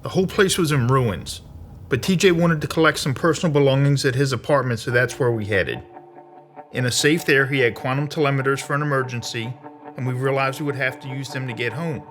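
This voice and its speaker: An actor plays a gruff sergeant in an audio drama. His voice is forceful and mid-pitched, his delivery brusque and matter-of-fact, with some music behind him. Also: brisk, natural voice. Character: gruff, defensive military sergeant recounting a mission. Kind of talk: audio drama narration in character.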